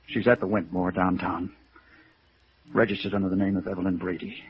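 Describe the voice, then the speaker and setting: A man's voice: sits low, flat delivery, brisk. An American actor playing a flustered, defensive man in love, faltering through a 1950s radio drama scene. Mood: defeated, bewildered. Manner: hesitant, indignant, faltering. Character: flustered, lovesick, defensive man who gives in. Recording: dull vintage radio recording.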